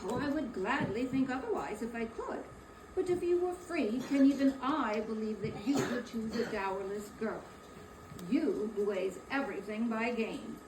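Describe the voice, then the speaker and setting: A woman playing a sorrowful, dignified young fiancee in a dramatic reading, earnest, formal and gently reproachful. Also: fairly high pitch, normal speed, lightly acted. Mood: sad, tender. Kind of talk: dramatic reading of a Victorian story. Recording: roomy, distant mic, noisy hall.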